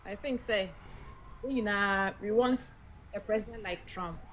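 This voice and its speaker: A woman with a Ghanaian English accent calling in to a live show, speaking haltingly with many restarts. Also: medium pitch, steady tone, poor audio. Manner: halting, mixes in Twi phrases, many restarts. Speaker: caller. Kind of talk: phone-in chat.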